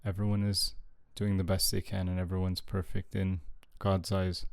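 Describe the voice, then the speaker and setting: A man leading a guided meditation in an unhurried, hushed manner, leaving long pauses. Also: low voice, monotone, creaky, no echo.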